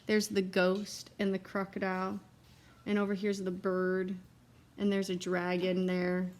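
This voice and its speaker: A woman reading a picture book aloud to young children in an expressive way, with simple asides to her listeners. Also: mid-range voice, measured pace. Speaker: read-aloud teacher and storyteller.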